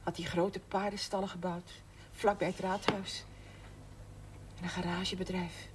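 A woman's voice: lowish voice, little pitch movement, normal speed, natural voice. An actress playing an older widowed mother who runs a struggling shop, plaintive and stubbornly proud, in naturalistic television drama. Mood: proud, defensive. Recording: noisy 1970s television soundtrack.

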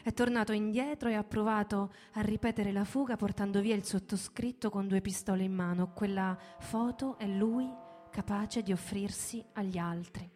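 A woman's voice: medium pitch, fairly even intonation, normal speed, darkish tone. Woman's lyrical reading over music. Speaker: storyteller, performer. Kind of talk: dramatic, lyrical narrative reading. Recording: music behind the voice. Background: background music.

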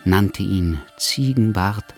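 A man narrates a radio drama from a script. His voice sits fairly low, and faint music plays underneath. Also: slow pace.

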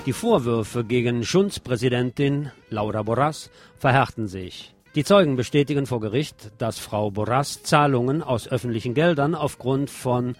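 A man presenting scripted radio news commentary as host, wry and deliberate, with emphatic phrasing. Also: medium pitch, faint music behind.

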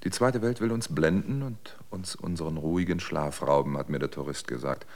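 A man playing a wary, solitary survivor in a radio drama, speaking in a measured, matter-of-fact, brooding way. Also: low voice. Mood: sober, watchful. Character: wary, sober male survivor keeping a solitary log. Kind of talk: German radio drama monologue and dialogue.

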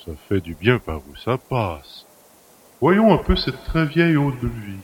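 A man's voice: mid-range voice, big pitch swings, unhurried. An irreverent manservant in an audio drama. His middling voice is chatty and familiar with a mocking edge, over a faint bed of insect noise. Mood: self-indulgent. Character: cheeky, familiar manservant who helps himself to master's luxuries. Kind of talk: French audio drama acting.

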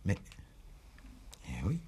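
An actor playing a troubled investigating judge in a 1960s French radio play, musing and questioning hesitantly. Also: male voice, extremely slow, dark tone. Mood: probing. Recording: old radio drama recording.

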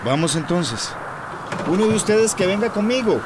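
Resolute rescuer amid loud outdoor noise. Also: male voice, lively intonation, normal speed. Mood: determined. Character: resolute, reassuring male boat rescuer. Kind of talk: radio soap opera acting. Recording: outdoor background noise, engine-like rumble.

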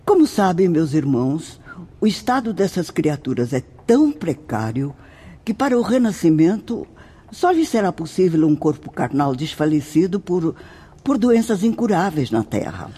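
A man's voice: a little high, sing-song, normal speed, mellow, breathy edge. An actor with a Brazilian accent playing a solemn, fatherly spirit mentor who lectures formally and emphatically in a radio drama. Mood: grave, emphatic. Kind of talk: radio drama acting, didactic.

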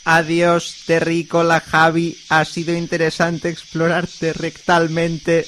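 A man's voice: fairly high pitch, completely flat delivery, slow, a bit bright, slight background noise. A man playing a polite, emotionless Martian alien in a comic radio serial, speaking in a stilted, formal, literal way. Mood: flat, detached.

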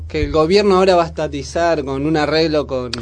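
A man's voice: a little high, fast, slight background noise. A man with a Buenos Aires accent chatting casually about current affairs as a radio host, informal and full of questions. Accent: Buenos Aires Argentine.

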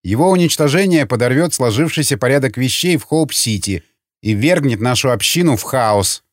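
A man's voice: medium pitch, animated, moderate pace, no room sound, studio quality, close to an everyday voice. A man voicing a sardonic, needling councilman in an audiobook, with a mocking and theatrical manner. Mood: cautioning. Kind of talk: audiobook character acting.

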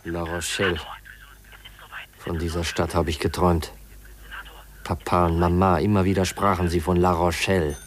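Young male lead played by an actor, lowish voice, earnest, hesitant, troubled, formal 1950s radio drama delivery. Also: level tone, normal speed, long pauses, background noise, natural voice. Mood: wistful, dreamy. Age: young adult. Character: earnest, troubled young nephew confronting his aunt. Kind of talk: 1950s German radio play acting.

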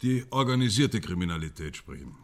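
A man's voice: sits low, sing-song, a little fast, creaky, heavily acted. A man playing a polite, probing police investigator in a German radio drama, tentative but businesslike.

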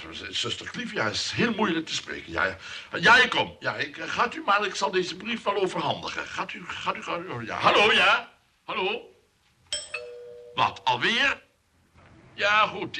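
An actor playing a fussy, smitten bachelor in a comic radio play, stammering and repeating himself. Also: male voice, fairly high pitch, sharp tone, slight background noise, full character voice.

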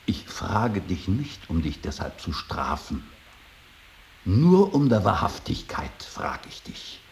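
Casting: stern, insistent middle-aged father, radio drama; very low, slow, solemn delivery. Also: creaky, full character voice. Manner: solemn, insistent, halting, swinging from hushed to forceful. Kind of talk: 1980s German radio drama acting. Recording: faint tape hiss.

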